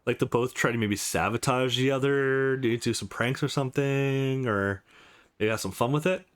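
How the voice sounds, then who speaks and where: A man's voice: mid-range voice, moderate pace. A man hosting a let's play, commenting casually on a visual novel as he goes. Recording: music under the voice. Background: game music.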